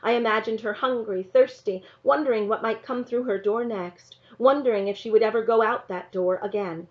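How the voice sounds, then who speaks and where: A woman reading a children's novel aloud in an expressive narrating style. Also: fairly high pitch, normal speed. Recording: slightly dull, lo-fi sound.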